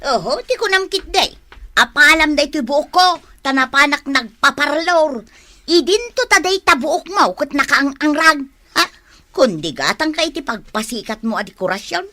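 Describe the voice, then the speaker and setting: An actress with an Ilocano accent playing a vain, easily offended neighbourhood wife in a radio comedy drama, indignant and taunting. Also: female voice, sits high, lively intonation, normal speed, sharp tone.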